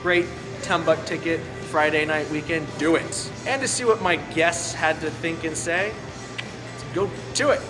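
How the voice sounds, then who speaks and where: A man's voice: a little high, measured pace. A man hosting a casual movie review show, talking informally in short, punchy phrases. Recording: loud music bed behind voice.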